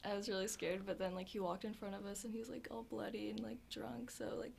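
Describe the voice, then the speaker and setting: A teenage girl answering interview questions softly, leaning heavily on "like" and other fillers. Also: medium pitch, little pitch movement, fast, vocal fry, a little hiss or hum. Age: teens. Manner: soft-spoken, filler-heavy with frequent "like". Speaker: interview guest. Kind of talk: casual interview.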